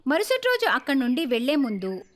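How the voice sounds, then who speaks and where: Female storyteller for moral tales: high voice, very lively intonation, scripted narration. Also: quick pace.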